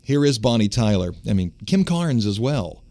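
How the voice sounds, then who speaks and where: Baritone male radio host, storytelling. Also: big pitch swings, moderate pace, dark tone. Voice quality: lower baritone voice. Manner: storytelling, conversational, with restarts. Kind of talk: casual radio chat.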